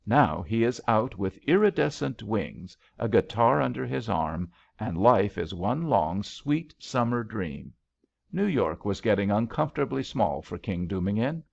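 A low-voiced man reads a romantic story aloud like an audiobook narrator. His delivery is unhurried and very animated, with a gravelly creak, on a slightly dull recording. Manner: measured, dramatic storytelling. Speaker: audiobook reader.

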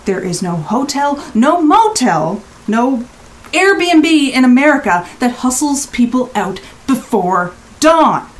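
Fed up guest in an audio drama, highish voice, slow emphatic phrases, bit of noise. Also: female voice, big pitch swings, full character voice. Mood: exasperated. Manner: exasperated, emphatic, clipped phrases. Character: exasperated, blunt, assertive adult rental guest. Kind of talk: naturalistic audio drama acting.

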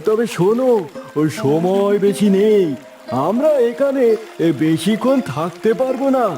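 A man's voice: high voice, animated, a little slow, distant mic, over music. A man voicing a kindly, loyal turtle who reassures his friends in a fairy-tale cartoon. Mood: warm. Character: kindly loyal turtle, attendant to a young prince. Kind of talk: cartoon voice acting.

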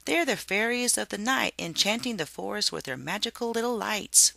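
Someone acting out a gushing, high-voiced naive maiden. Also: lively intonation, moderate pace, vocal fry, bright, forceful tone, no echo, exaggerated voice. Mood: gleeful wonder. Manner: gushing, cooing.

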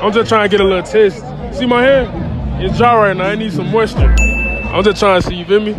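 A young man with a high voice banters and boasts as a street interviewer, repeating himself with playful swagger. He holds a mic on a busy night street. Loud music and a chattering crowd fill the background. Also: steady tone, a little fast. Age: young adult. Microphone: handheld mic.

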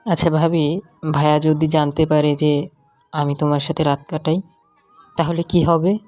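Give me a woman doing a low-voiced sweet-talking male lover. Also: level tone, moderate pace, mellow, no room sound, faint music behind, natural voice. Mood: affectionate, wary. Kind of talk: audio love-story drama acting.

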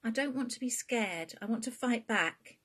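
A woman's voice: on the high side, expressive, moderate pace, fairly bright tone, slightly rough, pitched above the performer's own voice. A woman voicing a determined, frightened young woman in a storybook reading, earnest and defiant.

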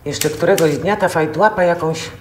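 An actress playing a disdainful, sharp-tongued middle-aged office worker in a film drama. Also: female voice, on the low side, normal speed, close to an everyday voice. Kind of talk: naturalistic film drama dialogue. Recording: roomy, slightly noisy office sound.

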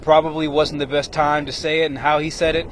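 A man in his thirties, a former player, giving measured, reflective analysis on live TV from an outdoor stadium at night into a handheld mic. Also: fairly high pitch, flat delivery. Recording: faint stadium noise outdoors.

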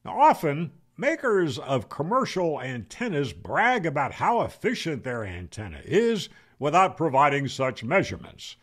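A man's voice: on the high side, sing-song, measured pace, few pauses. An American man in his seventies giving a casual, opinionated, wry explanation to camera in a conversational way. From United States. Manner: conversational, opinionated, wry, asks rhetorical questions. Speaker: ham radio video host. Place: home room, indoors. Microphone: desk microphone on boom arm, close.

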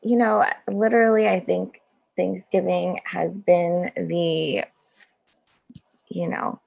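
A woman in casual podcast conversation, relaxed and thoughtful, laughing often. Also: on the low side, slow pace, creaky, husky, dull audio.

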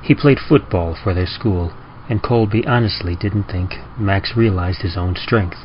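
A man giving a dramatic scripted reading of horror, measured and deliberate, with pauses for effect. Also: low voice. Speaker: narrator of horror stories. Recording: muffled audio with clear background noise.

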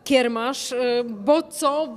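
A woman reporter interviewing students in a school hallway, asking playful, teasing questions with many pauses into a handheld mic. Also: high-pitched.

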